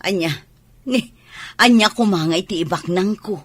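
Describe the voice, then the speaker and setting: A woman's voice: mid-range voice, normal speed, no room sound, close to an everyday voice. A woman acting a proud, hard-working mother of modest means in an audio drama. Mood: defensive. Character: proud working-class parent doing laundry, hopeful for child. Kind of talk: dialogue in an Ilocano audio drama.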